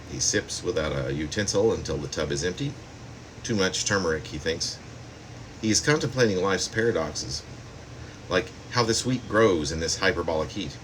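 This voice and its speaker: Very low-voiced man reading poetry, background hum. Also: a bit bright, low-quality recording. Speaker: poet. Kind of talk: poetry reading of own work.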